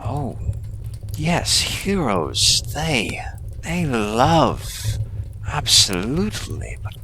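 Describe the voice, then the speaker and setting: A man playing a seductive, courtly and menacing villain overlord in an audio roleplay, breathy, with deliberate, grandiose phrasing. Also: mid-range voice, bright, forceful tone, a little hiss or hum, heavily acted. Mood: passionate, persuasive. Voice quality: breathy, half-whispered. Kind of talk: slow theatrical audio roleplay monologue addressed to the listener.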